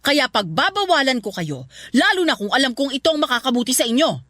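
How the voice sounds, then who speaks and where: A woman's voice: sits high, very animated, brisk, no room sound, studio quality, full character voice. A woman playing a stern, bitter middle-aged mother who distrusts men, scolding in a radio drama. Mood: angry, bitter. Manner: sharp, scolding, domineering.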